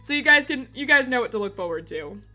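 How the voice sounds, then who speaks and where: A woman streamer with a slightly hoarse voice, chatting casually and giggling as she talks to her chat. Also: fairly high pitch, animated, fast, sharp tone, soft background music.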